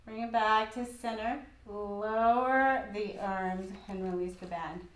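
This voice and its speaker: A woman with a croaky voice guiding a gentle yoga class in an old studio, cueing calmly with light laughs. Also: medium pitch, slow pace, roomy sound, poor audio. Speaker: yoga instructor.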